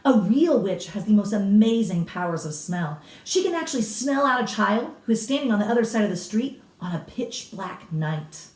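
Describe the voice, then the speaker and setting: A woman's voice: mid-range voice, expressive, normal speed, hardly any pauses, a little mellow, pitched above the performer's own voice, close to an everyday voice. A woman voicing a blunt, authoritative elderly grandmother from a storybook, explaining with emphatic relish and a snappish edge. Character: cigar-smoking old grandmother, authoritative, blunt, relishing grisly witch lore. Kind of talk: storybook read-aloud character. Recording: roomy echo, distant microphone.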